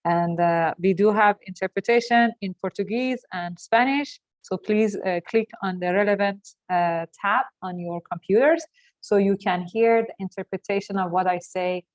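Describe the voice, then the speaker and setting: Woman moderating an online workshop. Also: mid-range voice. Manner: friendly, many filled pauses.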